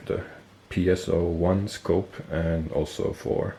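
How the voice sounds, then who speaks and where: A man with a stuffy nose, casually explaining military gear on camera, relaxed and thinking aloud with fillers and self-corrections. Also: deep voice, slow pace, vocal fry, a little hiss or hum. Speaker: military gear collector and video host. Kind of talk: casual show-and-tell explanation.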